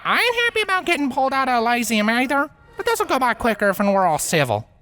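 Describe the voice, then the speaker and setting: A man voicing a calm, plainspoken earth pony mare ghost with a Southern US drawl. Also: very high-pitched, quick pace, pitched above the performer's own voice, full character voice. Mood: easygoing. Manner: folksy, plainspoken.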